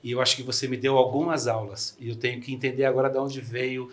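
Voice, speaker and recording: A man's voice: medium pitch, level tone, fast, slight background noise. A middle-aged man with a Brazilian Portuguese accent hosting a podcast interview, effusive and friendly, with frequent restarts. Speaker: podcast host.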